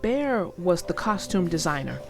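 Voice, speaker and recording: A woman narrating a read-aloud story for young children with deliberate, emphatic phrasing. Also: fairly low pitch, sing-song, gravelly, darkish tone, faint music behind.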